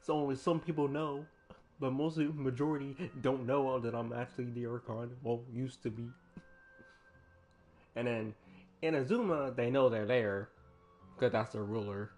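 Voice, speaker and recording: A man's voice: medium pitch, fairly even intonation, moderate pace, pauses often, darkish tone. Joking man streaming a game. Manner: jokey, chuckles often, talks back to game characters. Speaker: gaming streamer. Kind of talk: casual commentary while playing. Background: game music.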